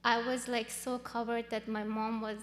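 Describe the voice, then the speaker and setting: A woman in her thirties from Iran, whose first language is Farsi, telling her own story in an interview, earnest and halting with many fillers. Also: a little high, level tone, in a large hall. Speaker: guest. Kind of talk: personal testimony interview.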